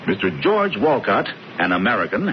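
A pompous, scheming brother reads the news aloud with theatrical relish. His voice swings widely in pitch. The sound is a dull old radio broadcast with a faint hiss. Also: sing-song, moderate pace. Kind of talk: 1970s radio drama acting.

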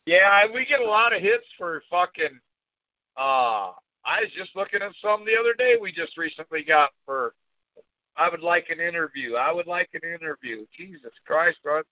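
A man with a slightly high voice chats casually as a podcast co-host. His manner is blunt and foul-mouthed. The sound is very dry and somewhat dull, a lo-fi recording. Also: animated, normal speed, no room sound. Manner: coarse, profane, blunt. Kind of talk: casual chat.

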